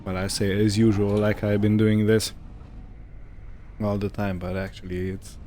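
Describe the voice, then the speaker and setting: A man's voice: lowish voice, flat delivery, moderate pace, dark tone, slight background noise. A man giving casual, musing gameplay commentary, hesitant and thinking aloud as he goes. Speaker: gaming video host.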